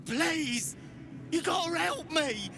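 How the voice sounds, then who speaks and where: An actress voicing a desperate, cringing female goblin who pleads in drawn-out cries in a fantasy video game. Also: extremely high pitch, expressive, vocal fry, background noise, full character voice. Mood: desperate pleading.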